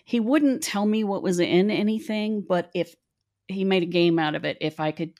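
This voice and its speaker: A woman's voice: mid-range voice, normal speed, darkish tone. A middle-aged woman from West Virginia, telling a meandering, self-deprecating story in a casual podcast chat.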